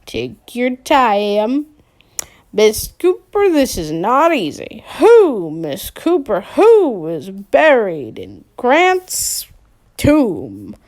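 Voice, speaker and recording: A woman's voice: on the high side, wildly swooping pitch, very slow, darkish tone, full character voice. A woman voicing an awkward teenage boy who puts on a hammy announcer voice, then turns meek and unsure. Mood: mock-grand. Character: awkward teenage boy doing a pompous radio host bit.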